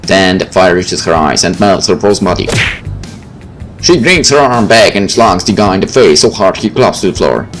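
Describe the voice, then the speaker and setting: A man's voice: low voice, lively intonation, normal speed. A man hosting a game video, reading story narration aloud and adding casual, wry asides. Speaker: gaming video host. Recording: faint game music behind the voice.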